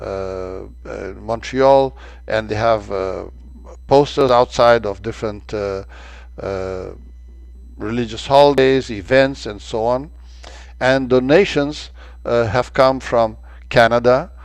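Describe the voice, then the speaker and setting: An older man from Romania giving a slide-show lecture about his travels, pausing often with fillers and restarting his sentences. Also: mid-range voice, dry recording. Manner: halting, many filler sounds and restarts. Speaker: presenter of a travel talk.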